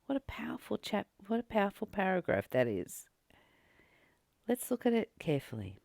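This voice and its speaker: A woman leading a spiritual book study, reading aloud and reflecting in a deliberate, emphatic manner with pauses and repeated phrases. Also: mid-range voice, wildly swooping pitch, gravelly, darkish tone, no room sound. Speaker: spiritual teacher and channel host.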